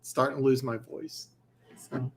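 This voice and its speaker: A man's voice: medium pitch, flat delivery, measured pace, vocal fry, background noise. A man hosting a live football picks stream in a casual, rambling way, losing his voice. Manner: rambling, many restarts and fillers. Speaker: host of a football picks show.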